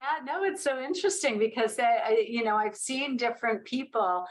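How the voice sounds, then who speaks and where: A woman's voice: fairly high pitch, animated, moderate pace. A woman hosting a casual interview podcast, talking in a conversational way and often affirming her guest with yeahs. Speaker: podcast host.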